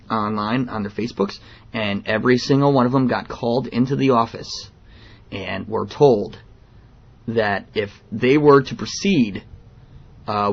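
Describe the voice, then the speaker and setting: A man hosting a radio show, giving opinions in a halting, blunt, indignant monologue. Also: medium pitch, vocal fry, breathy edge, muffled recording.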